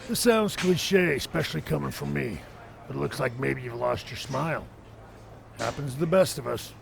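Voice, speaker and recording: A man voicing a sympathetic wrestling mentor with a gravelly voice in a video game cutscene. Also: mid-range voice, expressive, normal speed, a little hiss or hum. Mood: concerned.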